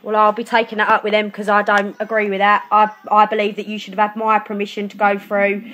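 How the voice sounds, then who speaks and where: A woman's voice: medium pitch, completely flat delivery, quick pace, a bit bright. A young adult woman talking on a phone call in a blunt, challenging way. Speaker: client of social services, a mother. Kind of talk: phone conversation.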